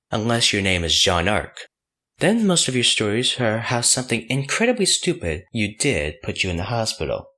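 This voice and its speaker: A man's voice: on the low side, pushed and bright. A man narrating a fan fiction audiobook from a script.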